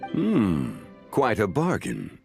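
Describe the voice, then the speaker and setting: An actor playing a composed, erudite antiques connoisseur in a video game, speaking formally and eloquently with measured courtesy. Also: male voice, fairly low pitch, wildly swooping pitch, vocal fry, heavily acted. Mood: composed, courteous. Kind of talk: scripted video game dialogue. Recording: music behind the voice.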